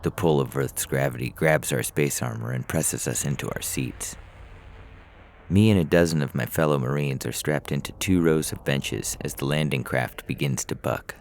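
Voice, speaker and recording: A man narrating scripted fiction in a measured, dramatic storytelling manner. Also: rumbling low. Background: music.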